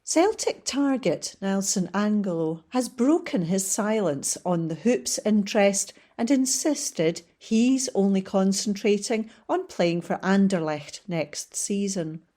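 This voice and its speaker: Female volunteer reader, slow steady delivery, mid-pitched, newspaper articles. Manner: steady, measured reading. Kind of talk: reading newspaper articles aloud.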